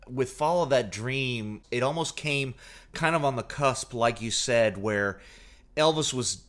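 A man's voice: medium pitch, unhurried, fairly bright tone. A man working as a radio interviewer, speaking in a casual, conversational style.

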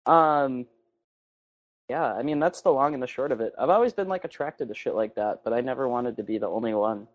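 A man's voice: medium pitch, brisk, dull audio. A man from New Hampshire co-hosting a podcast, rambling and swearing in casual banter. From New Hampshire, USA. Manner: rambling, swearing, laughs at own puns. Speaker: podcast co-host. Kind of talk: casual chat.

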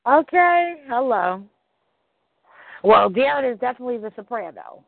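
A husky host chats loosely and excitably, full of fillers and swearing. The sound is thin and phone-like, with no room around it. The voice sits at a middle pitch. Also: moderate pace, no room sound. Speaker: podcast host. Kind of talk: casual call chat. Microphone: call audio. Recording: tinny phone-like call audio, dry.

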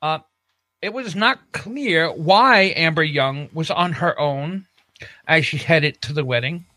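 High-pitched, bright-voiced man reading news. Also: no room sound. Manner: halting, repeats himself while reading. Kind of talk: casual comedy news reading.

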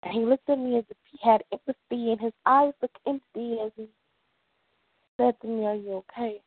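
A woman performs a confessional spoken word poem in a dramatic, deliberate way, with long pauses between phrases. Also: a little high, fairly even intonation, breathy edge, no echo, phone-quality sound.

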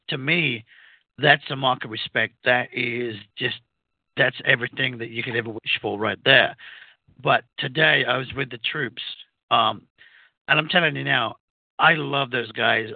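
A man's voice: mid-range voice, no room sound. Radio co-host, male, raised abroad; hesitant, filler-heavy chat over a narrow dry line. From outside the US. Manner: halting, many fillers and restarts. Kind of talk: casual radio talk. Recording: phone-like narrow sound, dry.

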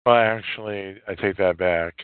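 A man hosting a solo podcast, giving opinionated commentary in halting, emphatic phrases with a lot of repetition. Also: deep voice, fairly even intonation, clear, resonant tone, dry recording. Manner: coarse, swearing. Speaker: podcast host. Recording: narrow phone-like line, dry.

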